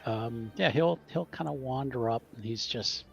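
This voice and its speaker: A man's voice: medium pitch, normal speed, gravelly. A man casually narrating his character's actions in a tabletop roleplaying game, hesitant and repeating phrases. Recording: slightly dull lo-fi audio. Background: faint music.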